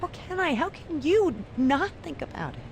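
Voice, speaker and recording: A woman voicing an anxious, soft-hearted space station crewmember in a game, earnest and naturalistic. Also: sits high, sing-song, moderate pace, slight background noise. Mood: anxious, pleading. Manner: earnest, worried.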